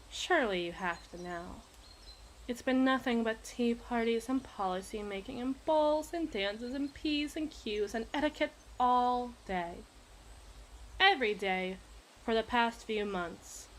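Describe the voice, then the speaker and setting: A woman's voice: on the high side, a little hiss or hum. A woman playing a possessive, imperious evil queen in an ASMR roleplay, speaking in formal, teasing, theatrical diction. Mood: wry, rebellious.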